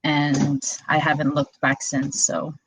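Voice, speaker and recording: A woman's voice: sits low, completely flat delivery, slow pace, fairly bright tone, slightly rough, rough recording. A woman in her twenties from Quebec, a wrestler chatting casually as a guest and telling her story with lots of "like". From Quebec, Canada. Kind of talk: casual interview chat.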